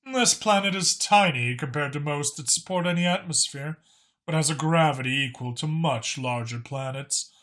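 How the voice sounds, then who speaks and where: A man voicing a dignified male dragon in an audiobook, speaking in a measured, guarded and formal way. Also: fairly high pitch, a bit bright, natural voice. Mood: explanatory. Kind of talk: audiobook character acting.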